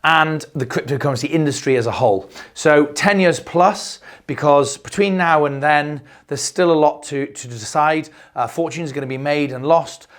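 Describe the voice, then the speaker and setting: A man's voice: on the high side, normal speed, hardly any pauses. A middle-aged man hosting a crypto video, talking off the cuff as he loses his voice, rambling and repeating words with many fillers. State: losing his voice. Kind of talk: unscripted explainer monologue.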